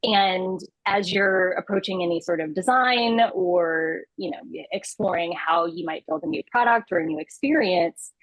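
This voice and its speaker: Woman in her 30s, breathy low-ish voice, podcast guest, lots of um and you know, dry sound. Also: lively intonation, moderate pace, hardly any pauses, no room sound, low-quality recording. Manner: hesitant restarts, many fillers. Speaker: guest, customer insights lead. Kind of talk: interview conversation.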